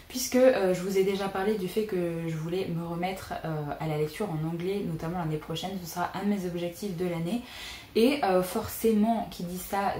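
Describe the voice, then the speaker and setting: A woman's voice: fairly low pitch, mellow. A young adult woman chatting casually about books she wants to read, with many hesitant fillers. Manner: chatty, frequent "euh" fillers. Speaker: booktuber. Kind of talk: casual book wishlist vlog. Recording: echoey room with faint noise.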